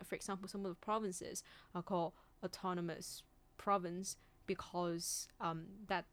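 A woman from China, a native Cantonese speaker, explaining things informally and thoughtfully in conversation. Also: medium pitch. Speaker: discussion participant.

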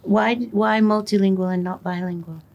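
A woman interviewer reflecting and asking questions in a thoughtful, halting way, often restarting her sentences. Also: mid-range voice, steady tone, darkish tone, lo-fi sound.

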